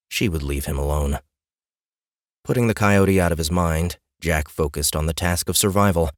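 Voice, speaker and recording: Deep-voiced man narrating a fantasy audiobook. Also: dry recording, studio quality. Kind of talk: scripted fantasy story narration.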